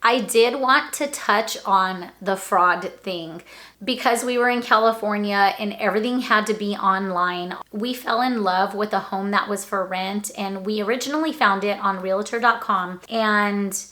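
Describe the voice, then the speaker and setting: Bright-voiced woman vlogger chatting to camera. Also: mid-range voice, measured pace. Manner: chatty, explains at length. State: recovering voice after illness. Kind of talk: casual talk to camera.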